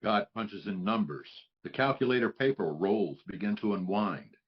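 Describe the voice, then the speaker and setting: A man serves as narrator, reading scripted stage directions. His voice sits on the low side. The audio is a little muffled. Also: expressive, moderate pace.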